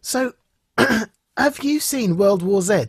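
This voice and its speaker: Husky man chatting, close and dry. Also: high voice, slow, dry recording. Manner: animated storyteller, repeats phrases for emphasis. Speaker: podcast co-host. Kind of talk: casual chat recounting and reviewing a show.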